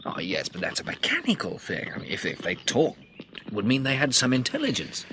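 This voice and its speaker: An educated English scientist in an old radio drama. He is dry and faintly testy, with animated intonation over faint noise. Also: medium pitch, brisk. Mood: sceptical. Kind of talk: classic British radio drama acting.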